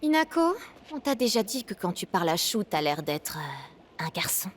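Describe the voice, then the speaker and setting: A woman's voice: fairly low pitch, sing-song, moderate pace, a little hiss or hum. A woman voicing a teasing, pointed teenage girl in a French game dub.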